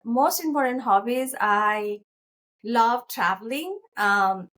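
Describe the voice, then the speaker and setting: A woman's voice: a little high, lively intonation, slow, fairly bright tone. A middle-aged woman from Nepal with a Nepali accent, a physician talking casually as an interview guest.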